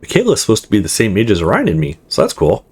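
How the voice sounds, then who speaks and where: Visual novel sidekick: quirky young male gamer, blunt, breezy, slightly rough played by a man. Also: fairly low pitch, wildly swooping pitch, fast talker, exaggerated voice. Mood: laid-back. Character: weird but loyal young male gamer friend.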